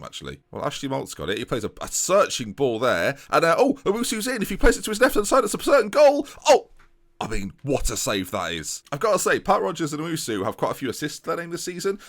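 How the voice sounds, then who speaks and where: A young man giving chatty, excitable commentary over a video game, often tripping over his words and joking at himself. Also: on the low side. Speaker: gaming YouTuber. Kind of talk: casual let's-play commentary.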